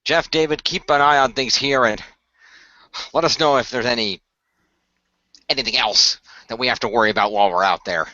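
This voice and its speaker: A man's voice: mid-range voice, moderate pace, pauses often, pushed and bright, no echo, lo-fi sound, natural voice. A man playing a composed, matter-of-fact leader of a hero team in an amateur radio play. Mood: businesslike.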